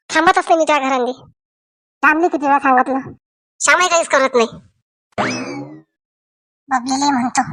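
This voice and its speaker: Comedy cartoon village woman, played by a female actor: high, theatrical, scolding, rural Vidarbha Marathi accent, dry recording. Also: a bit bright, dry recording. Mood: indignant. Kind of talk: comic cartoon voice acting in village dialect.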